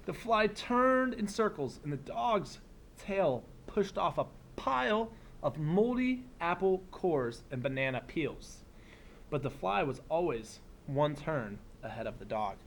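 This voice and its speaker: Higher-voiced man reading aloud to children, noisy recording. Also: vocal fry. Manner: expressive storytelling, pausing for simple explanatory comments. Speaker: elementary school teacher. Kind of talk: read-aloud of a children's picture book with asides to young viewers.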